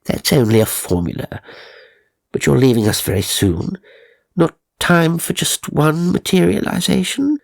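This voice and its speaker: A man's voice: on the high side, a little slow, gravelly, no room sound, pitched above the performer's own voice, exaggerated voice. Guy doing wheedling fake lady medium, raised voice, gushy and coaxing, ghost story audiobook. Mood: placating. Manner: gushing, flattering, coaxing. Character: wheedling, fraudulent female spirit medium.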